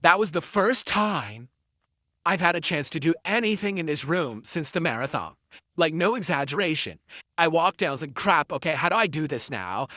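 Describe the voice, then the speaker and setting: Bright-voiced man rambling defensively on stream. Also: fairly high pitch, dry recording, clean studio sound. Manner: defensive, rambling, full of fillers and restarts. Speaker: livestreamer. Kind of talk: rambling livestream chat with viewers. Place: his home streaming room.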